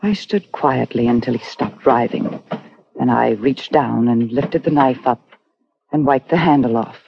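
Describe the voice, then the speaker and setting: A woman's voice: rumbling low, unhurried, creaky, a little hiss or hum, heavily acted. An actress playing a haunted, guilt-ridden murderess, narrating her crime in tense, dramatic 1940s radio style. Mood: haunted, fascinated. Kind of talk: 1940s radio suspense acting. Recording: dull old radio recording with music.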